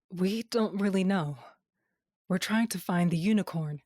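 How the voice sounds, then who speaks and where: An actress playing a pensive, troubled wife on a fantasy quest in an audio drama, speaking earnestly. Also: female voice, lowish voice, takes it slowly, a little mellow, dry recording, studio quality.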